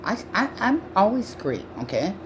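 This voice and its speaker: A woman in her sixties from Canada ranting loudly and profanely to camera on her own channel, her speech halting from false teeth. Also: medium pitch, very animated, background noise. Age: 60s. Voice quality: loud voice. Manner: shouting, profane, accusatory. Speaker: vlogger. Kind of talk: angry rant to camera.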